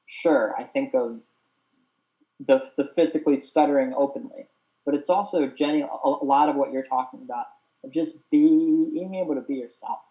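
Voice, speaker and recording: A man's voice: a little high, normal speed, warm tone, rough recording. A young man hosting a casual group chat who stutters openly with repeated sounds and talks in an earnest, open way. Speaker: host.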